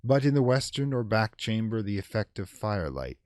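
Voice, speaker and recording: A man narrating a classic gothic horror tale in a theatrical, measured, formal storytelling style. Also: on the low side, very animated, a little mellow, no room sound, studio quality. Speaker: audiobook narrator.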